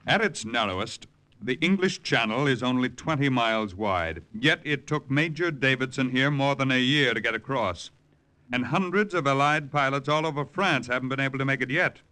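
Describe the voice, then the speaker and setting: An actor playing a brisk American intelligence colonel, clipped, authoritative and emphatic, in 1940s radio drama style. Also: male voice, medium pitch, expressive, sharp tone. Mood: brisk, urgent.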